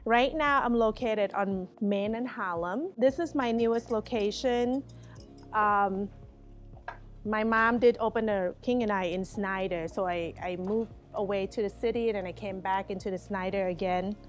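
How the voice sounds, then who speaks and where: A woman's voice: medium pitch, measured pace, faint music behind. Thai-accented woman chef explaining while cooking. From Thailand. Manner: explains step by step while cooking, light laughs. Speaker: guest chef. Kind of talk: cooking demonstration. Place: restaurant bar kitchen.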